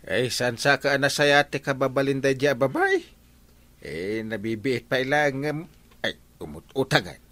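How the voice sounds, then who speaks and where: An actor playing a hesitant, stammering village captain in an Ilocano radio comedy drama, with an Ilocano accent. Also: male voice, mid-range voice, moderate pace, a bit bright, no echo, close to an everyday voice.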